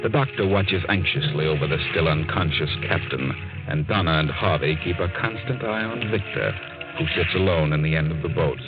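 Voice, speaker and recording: A man's voice: extremely low pitch, fairly quick. A man playing an ominous storyteller, narrating a 1940s radio suspense drama. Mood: grave, ominous. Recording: muffled 1940s radio, loud music behind.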